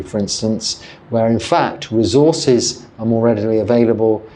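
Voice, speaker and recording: Calm, measured English monk. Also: male voice, fairly low pitch. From England. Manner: calm, measured and reflective, with pauses and self-corrections. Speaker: Buddhist monk. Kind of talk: answering a viewer's question, guided meditation.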